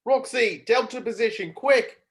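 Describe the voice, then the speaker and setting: A man's voice: very high-pitched, a little slow, fairly bright tone, breathy edge. A man reading a film role aloud at a table read, in an urgent, coaching tone.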